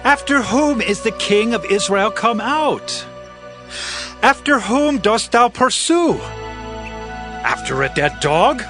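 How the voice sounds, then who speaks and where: An actor playing a young warrior in a scripture audio drama, pleading earnestly with his king in declamatory, drawn-out phrases. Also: sits high, very animated, music in the background, exaggerated voice. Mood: earnest, aggrieved.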